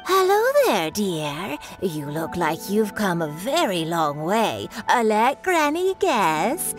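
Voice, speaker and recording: A woman playing a kindly old granny shopkeeper in a video game, patient and helpful, with a gentle, drawn-out delivery. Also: medium pitch, very animated, over music. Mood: warm, playful. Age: older.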